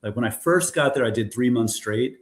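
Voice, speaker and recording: A man's voice: mid-range voice, brisk, roomy sound. An American man being interviewed, telling stories in a rambling, casual way with frequent restarts. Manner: rambling, restarts often, animated storytelling. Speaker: guest, former bar owner in Korea.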